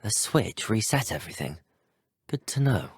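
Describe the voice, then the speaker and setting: A young man with a British accent voicing an earnest, courteous, brave teenage wizard student in a video game, speaking politely and articulately with a slightly husky tone. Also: fairly low pitch, very animated, normal speed, dry recording, clean studio sound, heavily acted. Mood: wary, musing. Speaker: player character in a video game. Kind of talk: video game voice acting, scripted lines.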